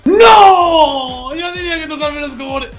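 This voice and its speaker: A man with an accent from Spain playing a video game with friends, clowning, shouting and repeating himself. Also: extremely high pitch, takes it slowly, soft background music. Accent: Spanish from Spain. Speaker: gaming co-player. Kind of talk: rowdy gameplay banter.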